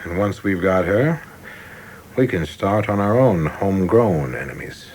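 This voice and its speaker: Noisy ambience sits behind a low male voice acted by a man in a radio play. He is a coldly zealous young official, formal and insistent, polite to superiors but cutting. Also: expressive, measured pace, a little mellow. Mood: deferential, scheming. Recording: clearly noisy background ambience.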